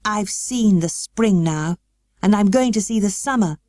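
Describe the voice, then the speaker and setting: A woman voicing a frail, imperious, excitable young upper-class boy in an audiobook, well-spoken and emphatic. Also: medium pitch, a little slow, no room sound, clean studio sound, voice pushed up, natural voice. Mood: eager, determined. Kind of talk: audiobook character acting.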